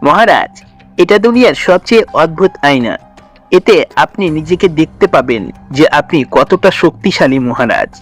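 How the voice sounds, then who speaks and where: Gloating, high-pitched wicked cartoon sorcerer. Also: male voice, sing-song, normal speed, soft background music. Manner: pompous, mocking, cackling. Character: gloating wicked male sorcerer who usurps the throne. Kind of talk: Bengali fairy-tale cartoon acting.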